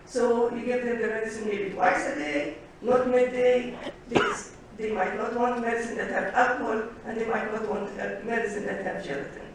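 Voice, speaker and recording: Woman lecturing in an echoey hall. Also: a little high. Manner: halting, many pauses and fillers, reads out lists. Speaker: conference speaker, physician. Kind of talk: conference presentation. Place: conference hall. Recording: echoey hall, distant mic, faint noise.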